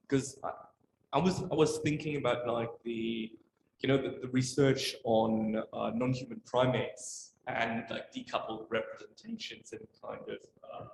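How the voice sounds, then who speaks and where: A man's voice: mid-range voice, creaky. A man asking a casual, unscripted question at an academic talk, tentative and full of verbal hedging. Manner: tentative, heavily hedged with "kind of" and "like". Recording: echoey room with faint noise.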